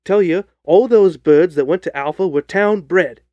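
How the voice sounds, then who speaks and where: Man voicing a loud, surly Victorian market salesman. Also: sits high, normal speed, dry recording, dull audio, voice pushed up, lightly acted. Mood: combative, cocksure. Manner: blustering, combative. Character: surly, cocksure Victorian poultry salesman, quick to anger. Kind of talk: audiobook character voice.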